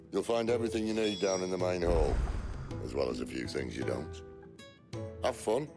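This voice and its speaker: An actor playing a wry, roguish middle-aged outlaw leader in a video game, with a dry, teasing, laid-back manner. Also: male voice, exaggerated voice. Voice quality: deep, gravelly. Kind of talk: video game character dialogue.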